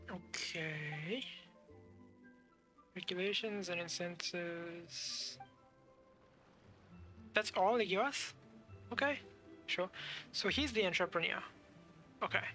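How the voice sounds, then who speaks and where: A game streamer giving casual, halting commentary, thinking aloud while playing. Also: mid-range voice, bright, forceful tone, faint music behind. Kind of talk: casual let's play commentary.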